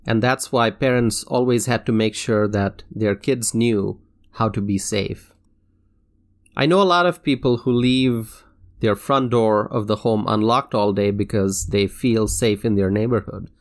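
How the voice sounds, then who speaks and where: Earnest man with a gravelly voice. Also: lowish voice. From Bangladesh. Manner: earnest, measured, occasional restarts. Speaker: podcast host. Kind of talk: reflective solo commentary.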